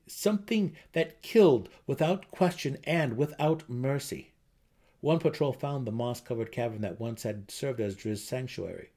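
Male narrator, mid-pitched, dramatic fantasy storytelling, scripted audiobook reading. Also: dark tone.

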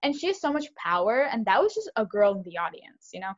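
A young woman co-hosting a podcast, talking fast and animated in casual chat, with lots of "like". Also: medium pitch, muffled recording. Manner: fast, animated, heavy use of "like". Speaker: podcast co-host.

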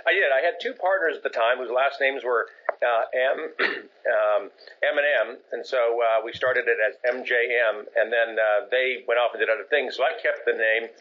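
A man's voice: mid-range voice, normal speed. A middle-aged man who grew up in Japan answers interview questions and tells stories about his career, with many fillers and self-corrections. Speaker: film producer, guest. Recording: dull lo-fi audio.

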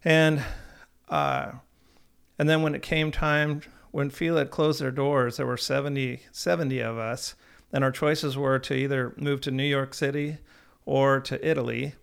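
A man's voice: medium pitch, measured pace, creaky, clear, resonant tone. A man in his thirties, a company founder, telling his story in a casual podcast chat, slightly nasal and rambling with frequent restarts. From Oregon.

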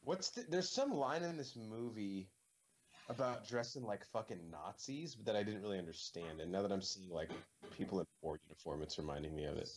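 A man's voice: on the low side, animated, fairly quick, slight background noise. A man co-hosting a casual movie commentary, joking, swearing, and slipping into mock voices. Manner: jokey, profane, acts out mock voices. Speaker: podcast co-host.

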